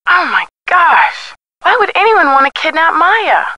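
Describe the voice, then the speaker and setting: Perky young female game sidekick, loyal, animated, bright voice, lively swoops, dry sound. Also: extremely high pitch, unhurried, dry recording, heavily acted. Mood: shocked. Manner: animated, supportive. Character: perky, loyal, expressive girlfriend of a young detective. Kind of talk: video game voice acting, phone dialogue.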